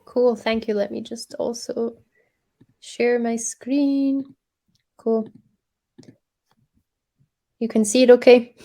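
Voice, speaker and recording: A Scottish woman, a startup co-founder, giving an upbeat, chatty pitch presentation over a video call, with frequent ums. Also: flat delivery, takes it slowly, creaky, long pauses, dark tone. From Scotland.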